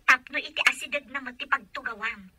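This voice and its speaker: A woman's voice: high-pitched, a little fast, sharp tone, husky, no room sound, full character voice. A woman with an Ilocano accent playing a pushy, plotting young friend in a radio comedy drama. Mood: urging.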